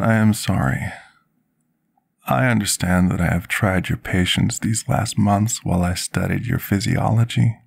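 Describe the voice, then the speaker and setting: ASMR fantasy roleplay: humble male potion master played by a man, very deep, gravelly voice, measured formal delivery, apologetic and dutiful. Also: exaggerated voice. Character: devoted, apologetic male potion master tending a dragon patient.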